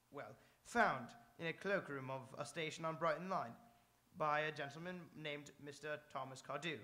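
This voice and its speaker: A man acting in live radio theatre as a proper, earnest, easily flustered young English gentleman. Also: on the high side. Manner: proper, hesitant, nervous.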